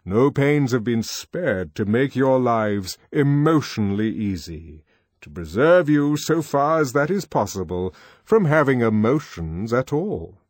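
A man's voice: medium pitch, big pitch swings, unhurried, darkish tone, no echo, studio quality, pitched above the performer's own voice. A man voicing a commanding, lecturing male ruler who addresses a group of boys. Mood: lofty. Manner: oratorical, lecturing. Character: commanding male world controller, older, lofty.

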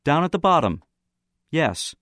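Accused schoolboy, guarded and defensive, raised pitch, audiobook drama acted by a man. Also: very animated, measured pace, fairly bright tone, dry recording, clean studio sound. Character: guarded, defensive teenage boy under accusation.

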